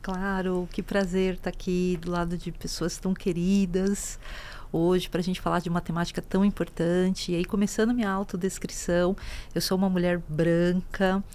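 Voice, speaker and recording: Mellow-voiced woman educator. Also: on the low side, level tone, moderate pace. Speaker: teacher and education policy manager. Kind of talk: panel discussion.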